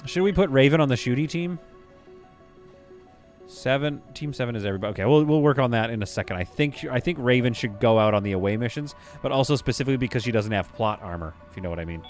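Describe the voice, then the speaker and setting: A man's voice: mid-range voice, faint music behind. A man streaming a game live, chatting animatedly with his viewers and laughing often. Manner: animated, chatty, quick to laugh, reads chat aloud.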